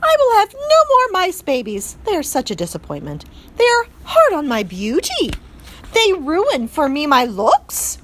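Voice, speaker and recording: Woman putting on a French mother mouse, vain, melodramatic, high, swooping, sighing. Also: wildly swooping pitch, unhurried, a bit bright, slight background noise, full character voice. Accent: put-on French. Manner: theatrical, wailing and sighing.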